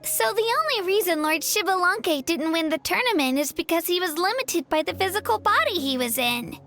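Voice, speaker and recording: A woman's voice: extremely high pitch, lively intonation, moderate pace, a bit bright, soft background music, full character voice. A woman voicing a young, earnest tribe member in a video game. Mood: sympathetic.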